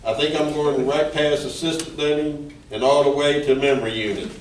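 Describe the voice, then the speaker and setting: An amateur actor playing a comically melodramatic wounded villain in a live radio play. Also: male voice, a little high, steady tone, normal speed, natural voice. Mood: mock dying. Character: gun-shot robber, comically melodramatic dying villain. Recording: distant echoey room, faint noise.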